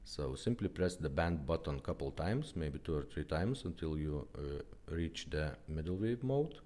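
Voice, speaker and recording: A man who is not a native English speaker gives a casual hands-on product demonstration as a restorer, explaining plainly and haltingly step by step. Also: extremely low pitch, animated, mellow. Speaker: car radio restorer presenting a product demo.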